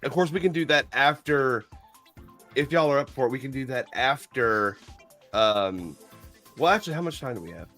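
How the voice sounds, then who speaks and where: Brief: male co-host, stuffy head cold, excitable, swears freely, stumbles over words, faint music behind. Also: mid-range voice, moderate pace. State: head cold, losing his voice. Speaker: podcast co-host. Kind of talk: casual livestream chat.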